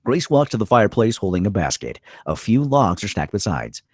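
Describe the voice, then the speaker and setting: A man narrates screenplay directions in a low, husky voice. His pitch moves around a great deal as he sets each scene, and the sound is dry and close. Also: sing-song, a little fast, dry recording. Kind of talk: scripted narration of a screenplay table read.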